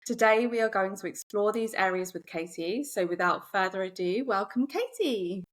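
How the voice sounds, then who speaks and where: A woman's voice: medium pitch, lively intonation, normal speed, a little hiss or hum. A woman hosting a podcast, upbeat and encouraging toward her guest. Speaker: podcast host, secondary teacher.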